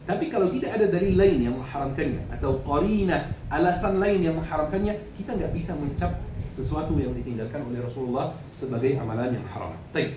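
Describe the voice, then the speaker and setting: A male preacher with an Arabic accent lectures in a fairly high voice, posing questions and answering them himself. He repeats key phrases to instruct his listeners. The recording is echoey, with clear background noise. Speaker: Islamic preacher. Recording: echoey, noisy room, distant microphone.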